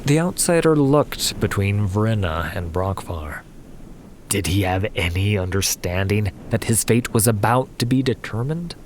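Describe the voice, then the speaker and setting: A man's voice: lowish voice, animated, a little slow, darkish tone, a little hiss or hum. A man narrating a fantasy story in a dramatic, scripted audiobook style. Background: music.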